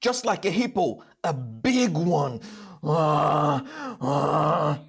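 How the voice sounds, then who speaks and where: A man voicing a cocky, teasing cartoon boy adventurer with a husky voice and a boastful, taunting manner. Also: on the high side, lively intonation, extremely slow, full character voice.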